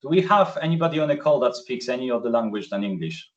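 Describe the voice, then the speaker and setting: Man demoing software on a muffled call. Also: mid-range voice, expressive, fast. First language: Polish. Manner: chatty, filler-heavy, self-correcting. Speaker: presenter. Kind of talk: live screen-share demo. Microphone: video call. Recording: distant, muffled video call audio.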